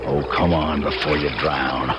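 A weary but gallant army colonel in a 1970s radio play. The voice sits low, and heavy water noise runs all around it. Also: male voice, fairly even intonation, a little slow. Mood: weary, tender. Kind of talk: 1970s radio drama acting.

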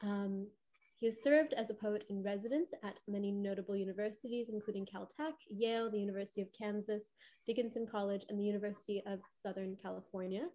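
A young adult woman on a home video call, hosting and reading prepared opening remarks in a clear, steady way. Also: mid-range voice, fast. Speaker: host. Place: home room.

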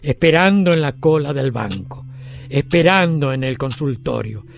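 An actor playing a weary retired man in his eighties with an Argentine accent, reflecting deliberately in an audio drama. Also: medium pitch, expressive, creaky. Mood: reflective, resigned. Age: 80s. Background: music.

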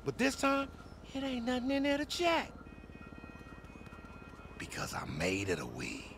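A man's voice: extremely high pitch, wildly swooping pitch, measured pace, background noise, full character voice. A man playing a boastful, foul-mouthed young hustler in a video game cutscene, with an American accent and slangy, cajoling banter. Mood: excited, boastful.

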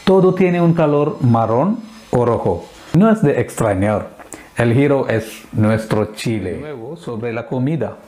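Indian guy, malayalam native, talking to camera on a clip-on mic, mellow voice, kinda halting and deliberate. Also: mid-range voice, animated. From Kerala, India. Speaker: video host. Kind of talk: presenting to camera in a second language. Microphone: clip-on lapel mic.

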